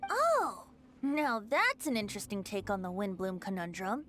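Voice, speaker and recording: A woman's voice: medium pitch, huge pitch swings, moderate pace, fairly bright tone, slight background noise. A woman voicing a playful, whimsical, youthful male bard with a theatrical, teasing manner in an anime video game. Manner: theatrical, lilting, amused. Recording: music playing behind the voice.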